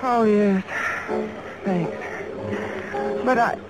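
A worn-out secretary in a 1950s radio drama. She sounds weary and guarded, quietly insistent and loyal to her boss. Music runs behind the voice. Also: mid-range voice, very slow, poor audio. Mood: guarded, defensive.

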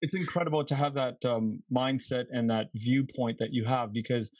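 A man's voice: mid-range voice, fairly even intonation, moderate pace, darkish tone, no room sound. A man in his thirties hosting a podcast interview, asking rambling, self-deprecating questions. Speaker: podcast host. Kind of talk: casual interview conversation.

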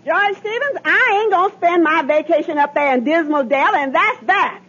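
A woman's voice: extremely high pitch, moderate pace, over music. A woman playing a stern, exasperated wife in old radio comedy, scolding in folksy, ungrammatical dialect. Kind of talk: 1940s-50s radio comedy acting. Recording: dull old radio broadcast.

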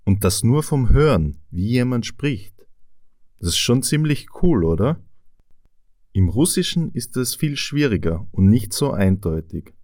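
A man from Tyrol with an Austrian accent, explaining a language topic to learners of German in a clear, measured way, with rhetorical questions. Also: medium pitch, very animated, darkish tone, dry recording, clean studio sound. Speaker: language teacher.

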